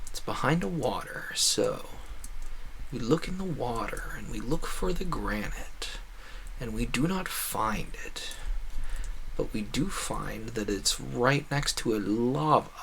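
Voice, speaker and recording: A man's voice: mid-range voice, expressive, slow pace, vocal fry, a bit bright, a little hiss or hum, poor audio. A man livestreaming a video game, chatting casually and musing at length in a talkative way. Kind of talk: casual rambling livestream chat over gameplay.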